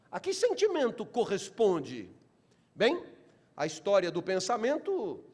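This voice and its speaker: Theatrical middle-aged Brazilian lecturer, high-pitched. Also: male voice. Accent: Brazilian Portuguese. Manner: theatrical, with rhetorical questions and emphatic repetition; slow deliberate phrasing that builds to loud animated peaks. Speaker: philosophy lecturer. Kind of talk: motivational philosophy lecture.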